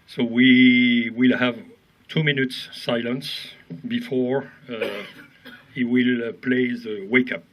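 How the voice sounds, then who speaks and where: A man announcing the order of a memorial ceremony outdoors, speaking haltingly and switching between French and English. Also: mid-range voice, fairly even intonation, fairly bright tone. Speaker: ceremony organizer.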